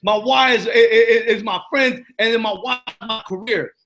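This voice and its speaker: A high-pitched man with a New York accent runs a live motivational Q and A. His voice is bright and forceful, and he hammers his points home with repetition. He keeps checking in with his listeners. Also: moderate pace, faint music behind. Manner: emphatic, repetitive, preachy, keeps asking "you know what I'm saying". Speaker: motivational coach hosting a live Q and A. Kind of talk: live-stream Q and A monologue. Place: living in Arizona.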